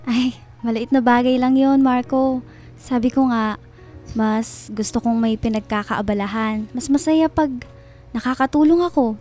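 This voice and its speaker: High female voice, Filipino accent, actress as devoted household helper, gentle, solicitous, radio drama. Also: unhurried, soft background music, natural voice. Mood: tender, reassuring. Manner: soft, comforting, solicitous.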